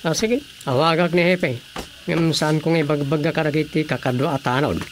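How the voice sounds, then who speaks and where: A man's voice: a little high, steady tone, normal speed, slight background noise, close to an everyday voice. A man playing a self-assured village officer-in-charge in a comedic radio drama, commanding and matter-of-fact. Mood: plotting.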